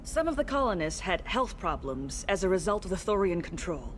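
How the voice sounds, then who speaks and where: An actress playing an earnest, polite alien woman in a video game, speaking in a measured, diplomatic manner. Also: lowish voice, very animated, a little hiss or hum. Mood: earnest, concerned. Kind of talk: video game dialogue acting.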